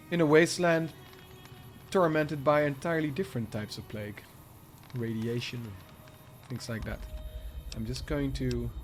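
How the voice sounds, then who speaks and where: Slow, musing man streaming a game. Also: medium pitch. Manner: unhurried, musing to himself. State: sick with a cold, sniffling. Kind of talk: casual let's-play commentary.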